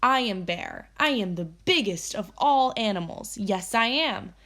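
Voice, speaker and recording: Woman acting boastful storybook bear, big and loud, stubborn, emphatic chanting delivery, unhurried. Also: mid-range voice, animated, heavily acted. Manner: bragging, emphatic, chanting declarations. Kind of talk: children's storybook read-aloud.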